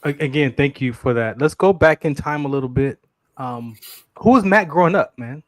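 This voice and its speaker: A man's voice: medium pitch, normal speed, no echo. A man hosting an interview, speaking earnestly with repeated words and frequent "right?". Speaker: host. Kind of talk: casual interview talk.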